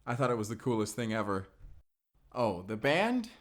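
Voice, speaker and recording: A man's voice: lowish voice, sing-song, moderate pace. A man playing a young, sheltered and earnest vampire slayer, in a cinematic audio roleplay, speaking in an intimate, conversational way. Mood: wistful, playful.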